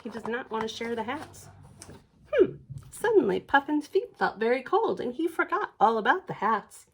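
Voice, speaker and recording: A woman reading a picture book aloud for a library storytime, expressive, with questioning asides to young listeners. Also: on the high side, normal speed, slightly rough. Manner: expressive, questioning, sing-song emphasis.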